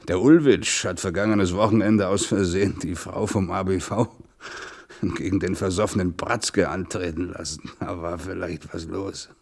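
A bossy, pragmatic man who runs a restaurant in a radio play. His voice is fairly low, and he hands out curt instructions in a colloquial way. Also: fairly even intonation, unhurried, creaky, a little mellow, full character voice. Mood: bossy, conspiratorial. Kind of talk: German radio play acting, colloquial monologue.

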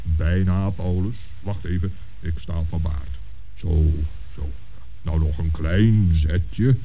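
An actor playing a dignified, kindly old saint in a children's radio play, speaking in a measured, patient and reassuring manner. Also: male voice, sits low, muffled recording, a little hiss or hum. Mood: gently practical.

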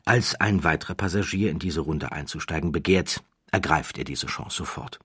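A man narrating an audiobook from a literary script, with measured, dramatic phrasing. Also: rumbling low, bright, forceful tone, breathy edge, no echo, clean studio sound.

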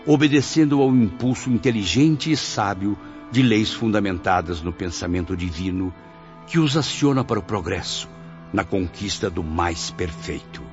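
An actor with a Brazilian accent playing a tormented dead man who narrates his afterlife in a radio drama, gravely and dramatically. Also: on the low side, normal speed, natural voice. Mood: solemn. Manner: grave, dramatic, literary delivery. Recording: muffled lo-fi recording, music behind.

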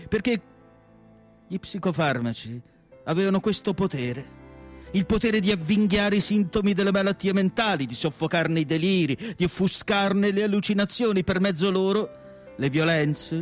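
A male actor playing a tormented asylum psychiatrist in a solemn, deliberate radio monologue with dramatic pauses. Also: fairly high pitch, natural voice. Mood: grave, emphatic. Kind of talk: dramatic literary monologue, theatrical radio acting.

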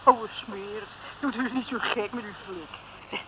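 An actress playing a chatty, eager detective's assistant in an old radio comedy serial. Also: female voice, mid-range voice, a little slow, lightly acted. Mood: complaining. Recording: noisy vintage radio recording.

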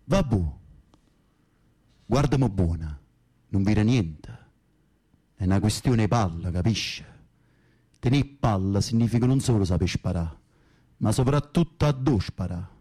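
An actor playing a tough, touchy young Camorra gangster in a radio drama, gruff, blunt and boastful, with a Neapolitan accent. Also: male voice, lowish voice, animated, unhurried, pauses often, warm tone. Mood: swaggering.

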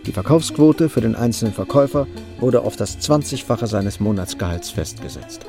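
A man's voice: on the low side, lively intonation, moderate pace, vocal fry, music in the background. A man narrating a literary radio drama as a sober storyteller. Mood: matter-of-fact. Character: sober storyteller of a young couple's hardships.

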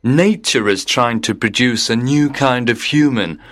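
A man playing a half-joking, easily amazed father in an audio story, with an animated manner. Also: mid-range voice, a little slow, natural voice. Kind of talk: acted dialogue in an audio story.

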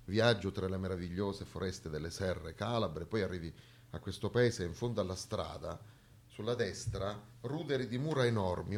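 A man performing an emphatic theatrical monologue, with rhetorical flourishes and dramatic pauses. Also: low-pitched, vocal fry, a little mellow. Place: theatre stage, live performance.

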